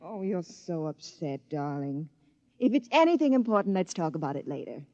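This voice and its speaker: An actress playing a doting, eager-to-please wife in a 1950s radio drama, cooing and solicitous. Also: female voice, lowish voice, sing-song, normal speed, darkish tone, no echo. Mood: tender, soothing. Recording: dull dry vintage radio recording.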